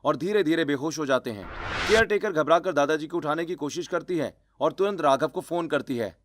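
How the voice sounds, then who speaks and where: A man's voice: fairly high pitch, a little fast, a bit bright, dry recording. A man reading scripted, dramatic story narration.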